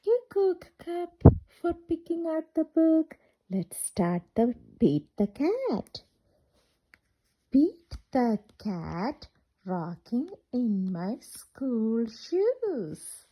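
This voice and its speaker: A woman's voice: a little high, lo-fi sound. A woman reading a picture book aloud to children in a singsong, interactive way, asking questions as she goes. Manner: animated, singsong, asks questions and pauses for children. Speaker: children's storyteller.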